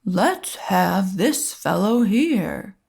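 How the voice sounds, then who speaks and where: Lady doing a kindly old man from a storybook, super slow n deliberate, bit creaky, proclaims things. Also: mid-range voice, sing-song, darkish tone, full character voice. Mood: decisive.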